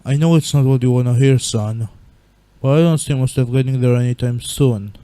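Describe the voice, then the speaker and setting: A big, kindly goat-monster dad from a comic dub, acted by a man. The voice drops low and moves slowly, with a weary, hopeful, reassuring fatherly tone. The sound is dry and close. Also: mellow, clear, resonant tone, dry recording, close to an everyday voice. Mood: gently hopeful. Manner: slow, reassuring, fatherly advice.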